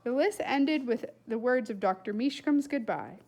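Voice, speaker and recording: A woman reading a storybook aloud as narrator in an expressive style. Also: on the high side, moderate pace. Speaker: storybook reader.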